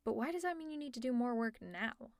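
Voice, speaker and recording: A woman's voice: a little high, expressive, fast, no room sound, studio quality. A woman playing a sarcastic caring supervillain. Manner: teasing, exasperated, with sighs and laughs. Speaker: ASMR roleplay narrator. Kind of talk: audio roleplay.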